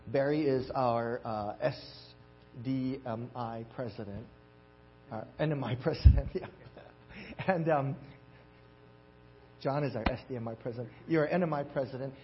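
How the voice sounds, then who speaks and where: A man's voice: medium pitch, moderate pace, long pauses. Eager male church announcer, muffled lo-fi sound with light hiss. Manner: warm and eager, with fillers. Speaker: church member introducing the speaker. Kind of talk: service announcement. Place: church sanctuary. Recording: dull lo-fi recording with faint hiss.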